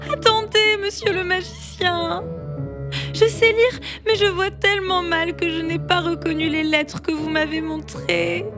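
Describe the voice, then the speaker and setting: A woman voicing a small timid short-sighted female mole in a high, squeaky voice. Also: moderate pace, few pauses, over music.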